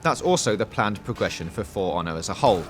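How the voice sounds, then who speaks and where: Low-voiced man narrating, animated pitch swings. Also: fast. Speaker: video game previewer, narrator. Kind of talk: scripted voice-over narration.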